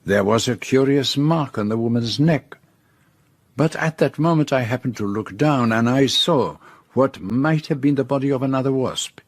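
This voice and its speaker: An actor playing a courteous, theatrical older Belgian detective in a radio drama, with a French-speaking Belgian accent and formal, precise, deliberate speech. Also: male voice, mid-range voice, close to an everyday voice. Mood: measured storytelling.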